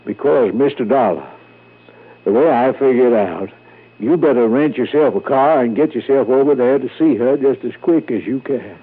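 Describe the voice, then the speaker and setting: A man's voice: mid-range voice, normal speed, breathy edge, natural voice. On a thin, narrow-band vintage radio recording, an actor plays a small-town Southern man. He is courteous and folksy, wandering hesitantly through what he has to say in classic radio drama style. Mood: hesitant, earnest. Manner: rambling, hesitant, folksy, digressive. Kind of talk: old-time radio drama acting.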